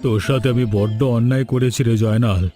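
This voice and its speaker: A man's voice: medium pitch, steady tone, fast, over music, natural voice. A man voicing a stern but generous village landlord and father in a cartoon folk tale. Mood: grateful, generous.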